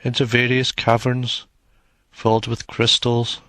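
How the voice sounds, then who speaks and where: A man narrating a guided meditation in a soothing, measured manner, leaving long pauses between short phrases. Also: medium pitch, completely flat delivery, sharp tone, no room sound.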